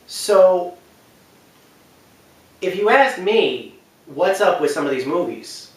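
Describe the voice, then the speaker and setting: In a very echoey room, some distance from the microphone, a man talks off the cuff. He has a slightly high voice and rambles through his opinions, hesitating, doubling back and leaning hard on key points. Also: big pitch swings, takes it slowly. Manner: rambling, hesitant, often backtracking. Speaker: host giving video commentary. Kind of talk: unscripted opinion talk.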